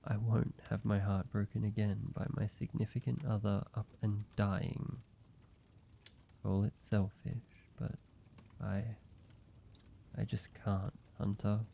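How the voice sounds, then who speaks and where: A man voicing a weary, guarded ancient vampire who is grieving yet tender, speaking haltingly in an intimate roleplay audio drama with a gravelly voice. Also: level tone, highs cut off, slight background noise. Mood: sorrowful, resigned. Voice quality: low, gravelly. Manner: slow, halting, hesitant delivery with pauses.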